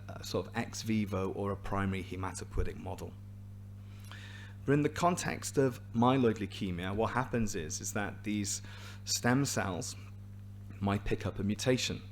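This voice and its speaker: A man with a hoarse, raspy voice giving a scientific seminar to a live and online audience, explaining clearly with a few restarts. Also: on the low side, moderate pace, a little hiss or hum. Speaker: invited seminar speaker, hematologist.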